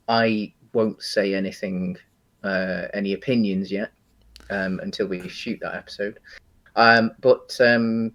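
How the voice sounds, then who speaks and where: A man co-hosting a casual film discussion, speaking in a hesitant, halting way and qualifying his opinions as he goes. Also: on the low side. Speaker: co-host of a video game film discussion show.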